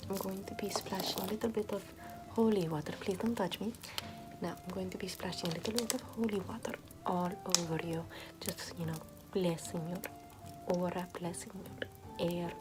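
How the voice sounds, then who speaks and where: A woman playing a callous, morbid medieval nun in ASMR roleplay, murmuring in a soothing, repetitive deadpan. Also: lowish voice, lightly acted. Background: music.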